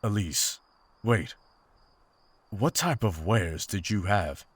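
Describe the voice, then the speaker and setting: A man's voice: on the low side, lively intonation, slow pace, no room sound. A man playing a grave, principled monster hunter in a fantasy visual novel, speaking in terse, formal lines. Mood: firm warning. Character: stern, principled monster hunter, weary and commanding.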